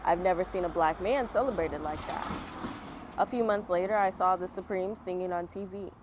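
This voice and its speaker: Low-pitched woman reading aloud outdoors. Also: moderate pace, a little mellow. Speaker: children's book read-aloud host. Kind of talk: picture book read-aloud with casual asides. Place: outdoors on a fall day. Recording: outdoor background noise, passing vehicles. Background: outdoor ambience, traffic.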